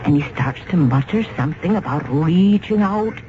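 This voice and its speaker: A nervy secretary, stammery, voice bobbing up and down, old lo-fi radio. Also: female voice, low voice, lively intonation, moderate pace. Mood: worried. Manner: hesitant, flustered. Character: loyal middle-aged secretary, anxious and timid. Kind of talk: 1970s radio drama acting. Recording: lo-fi vintage radio recording, faint hiss.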